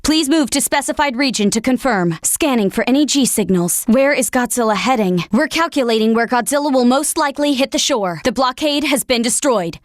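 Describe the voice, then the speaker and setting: High-voiced, clipped female military operations officer acted by a woman. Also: expressive, brisk, few pauses, no echo, studio quality, lightly acted. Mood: formal, businesslike. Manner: clipped, formal, commanding delivery. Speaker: game voice actor. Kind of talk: scripted military announcements in a video game.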